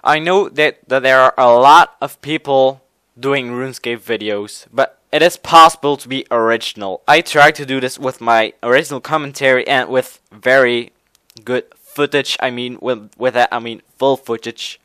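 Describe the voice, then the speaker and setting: A young man from Belgium, a native Dutch speaker with a Belgian Dutch accent, giving gaming video tips in a casual, halting way with many restarts. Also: mid-range voice, steady tone, bright, forceful tone, clear, resonant tone, dry recording. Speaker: gaming channel host. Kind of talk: casual tutorial commentary.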